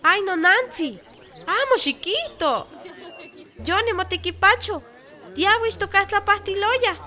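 A pregnant woman from a village in a radio drama. Her voice is high and piercingly bright. She sounds worried and keeps asking questions. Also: lively intonation, slow, heavily acted. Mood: anxious, uneasy. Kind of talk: radio soap opera acting.

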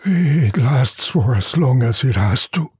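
A man reading a story, voicing stubborn, fatalistic poor villagers in a plaintive, slow, resigned manner. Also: medium pitch, animated, mellow, slightly rough, no room sound, clean studio sound, voice pushed up, heavily acted.

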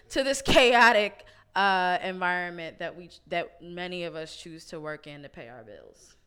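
Casual woman host, low and crisp, laid-back with frequent laughs. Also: lively intonation, unhurried, bright, forceful tone. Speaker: podcast host. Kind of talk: casual chat.

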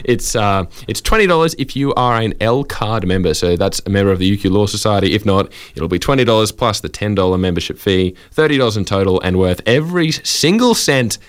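A man's voice: lowish voice, lively intonation, fairly quick, few pauses, clear, resonant tone, no room sound. A man presenting and narrating a comedy sketch read-through on a podcast.

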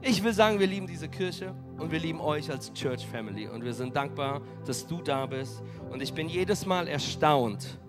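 A man preaching as pastor, energetic and rallying his congregation, with a cold that makes him hoarse. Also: a little high. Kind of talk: sermon. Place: church hall.

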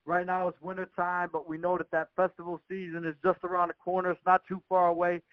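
Higher-pitched male radio interviewer, muffled. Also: monotone, quick pace, no echo. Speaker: radio show host, interviewer. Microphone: phone or call line. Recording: muffled, dull conference-call audio.

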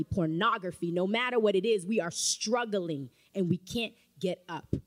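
A woman preaching a sermon in a church, emphatic and rising to fervent calls of amen. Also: on the low side. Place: church sanctuary.

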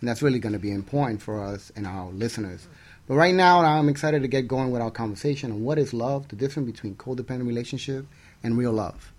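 A man's voice: medium pitch, quick pace. A man co-hosting a radio talk show in a conversational style.

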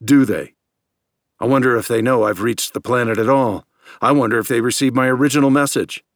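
A man's voice: mid-range voice, brisk, no room sound, studio quality, pitched above the performer's own voice, lightly acted. A man voicing an agitated, suspicious space scientist in an audiobook, insistent and accusatory, verging on hysteria.

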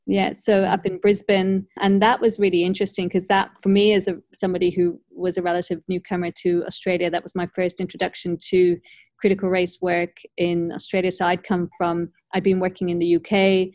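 A woman who grew up in Ireland, hosting an academic conversation in a thoughtful, measured way with frequent hedges. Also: lowish voice, little pitch movement, darkish tone, no echo. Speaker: podcast host.

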